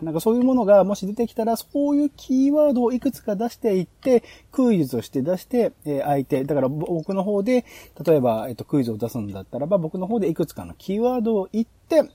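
A man hosting a podcast, casually explaining planning ideas to a co-host in an explanatory way with soft, trailing phrases. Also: sits high, slightly rough, dry recording.

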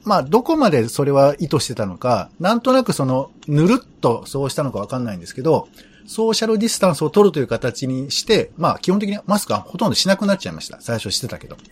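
Husky man with very animated intonation. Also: on the high side, quick pace. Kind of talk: casual explanatory chat.

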